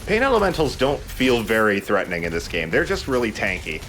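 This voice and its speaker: A man's voice: lowish voice, huge pitch swings, brisk, fairly bright tone, a little hiss or hum. A man giving casual, conversational video game commentary as a let's play host, explaining as he goes. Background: game music.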